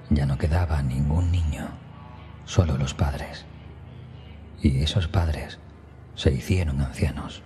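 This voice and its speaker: A man narrating a scripted first-person horror story in a hushed, breathy manner that often nears a whisper, with music behind him. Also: very deep voice. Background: background music.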